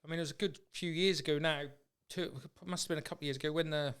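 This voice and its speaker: Clear, higher-voiced English man hosting casually. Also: fairly even intonation, rapid-fire, fairly bright tone. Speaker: podcast host. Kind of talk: casual conversational interview.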